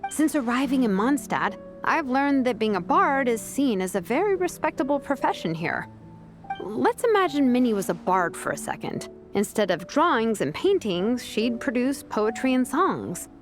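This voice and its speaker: An actress playing a confident vice captain and teacher in a video game, speaking casually, diplomatically and persuasively. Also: female voice, a little high, big pitch swings, moderate pace, faint music behind.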